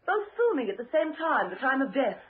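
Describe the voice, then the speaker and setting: British female investigator, poised, dry wit, high lively voice, radio drama, muffled recording. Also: a little fast, slightly rough, heavily acted. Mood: cool, curious. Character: poised, dryly witty British lady investigator.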